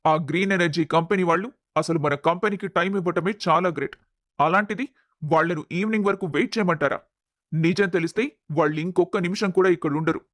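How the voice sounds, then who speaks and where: Man acting a high-pitched, stern young company boss. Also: a little fast, a bit bright, dry recording, studio quality. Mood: exasperated. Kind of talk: dramatised audio story acting.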